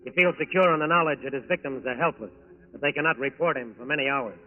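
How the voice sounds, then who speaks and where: An American man narrating a scripted 1940s radio crime drama in a dramatic, measured way. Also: medium pitch. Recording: thin phone-like 1940s radio recording.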